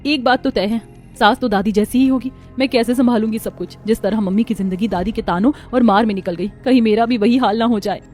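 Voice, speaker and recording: Woman playing an anxious young bride, fairly high-voiced. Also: a little fast, a little mellow, lightly acted. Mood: worried brooding. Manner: worried, plaintive. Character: anxious young bride dreading married life. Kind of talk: cartoon story voice acting. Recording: background music under voice.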